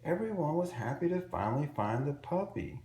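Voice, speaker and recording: A man reading a picture book aloud to young children in an expressive, simple storytelling way. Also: fairly high pitch, moderate pace. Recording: roomy echo with faint background noise.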